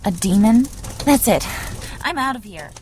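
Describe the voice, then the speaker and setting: A woman's voice: mid-range voice, animated, moderate pace, darkish tone, a little hiss or hum, close to an everyday voice. A woman playing a defiant, sarcastic and frightened young woman in an audio drama, indignant and skeptical in her delivery.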